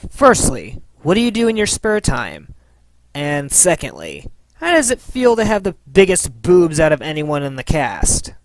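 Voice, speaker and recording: Woman host, lower voice, slow pace, animated, scripted comedy Q&A. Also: dry recording, low-quality recording. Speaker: question-and-answer host.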